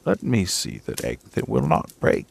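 Bossy old duck, slow and knowing, gravelly, as a male reader puts her on, faint hiss behind. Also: low voice, huge pitch swings, full character voice.

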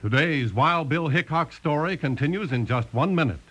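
A man's voice: fairly high pitch, lively intonation, moderate pace. American male radio announcer over music. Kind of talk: dramatic scripted old-time radio narration. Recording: dull vintage radio recording. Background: prominent music.

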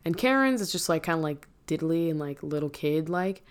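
A woman with a notably low speaking voice talks through a stage musical scene by scene. Her delivery is casual and conversational, dotted with "like" fillers. She often stops to correct herself mid-sentence. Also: expressive, brisk, smooth. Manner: chatty, many "like" fillers and self-corrections. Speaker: musical theater fan recapping a show. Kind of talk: casual spoken recap.

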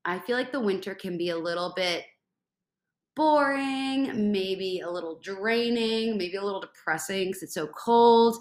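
A woman's voice: mid-range voice, a little slow, darkish tone, echoey room. A congested young woman hosting a casual solo podcast, bubbly and rambling, filling gaps with "like". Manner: bubbly, rambling, says like a lot. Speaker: podcast host.

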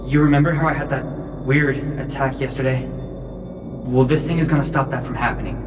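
A man's voice: mid-range voice, fairly even intonation, normal speed, noisy background, natural voice. An actor playing a defiant, confused teenage boy in a sci-fi audio drama, earnest and frustrated. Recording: echoey, distant, music underneath.

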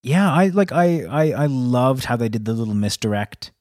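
A man's voice: medium pitch, expressive, normal speed, a little mellow, dry recording, clean studio sound. A man co-hosting a film review podcast, chatting casually and thinking aloud with hesitations and the odd swear word. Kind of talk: casual chat.

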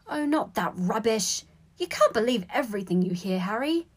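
A bossy teenage schoolgirl from a storybook, put on by a woman reader. She scolds in a raised pitch with exasperated, emphatic snap. She sounds sure that she knows best. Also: expressive, normal speed, breathy edge. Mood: lecturing. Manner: snappy, emphatic, know-it-all.

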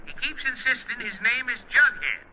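A man playing an excited, officious policeman calling by telephone, with a husky voice, in 1940s radio comedy. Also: very high-pitched, moderate pace, highs cut off, a little hiss or hum, heavily acted. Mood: urgent.

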